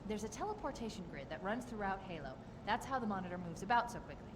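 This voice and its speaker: An actress voicing a confident military AI in a video game, assertive and articulate with a sardonic streak. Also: female voice, mid-range voice, lively intonation, quick pace, lightly acted. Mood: briskly explaining. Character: sharp-witted, confident female military AI companion. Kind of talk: video game cutscene acting. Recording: reverberant hall, clear background noise.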